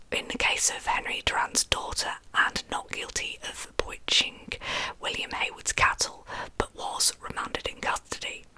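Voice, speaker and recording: A woman telling true crime history in ASMR style as host, in a breathy, soft whisper with casual asides. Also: poor audio.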